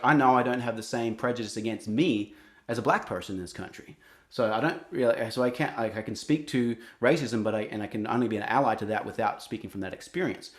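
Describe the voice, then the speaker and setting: A young adult man with an Australian accent, speaking earnestly in an interview, often restarting his sentences. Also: medium pitch. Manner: earnest, halting, many restarts. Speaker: guest, singer-songwriter. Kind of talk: reflective interview talk.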